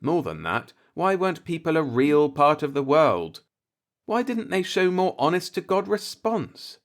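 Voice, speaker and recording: A man's voice: a little high, big pitch swings, a little slow, pitched above the performer's own voice. A man voicing a cynical, musing older working-class man, a self-taught professor, in a folksy, rhetorical audiobook monologue. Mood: questioning, exasperated.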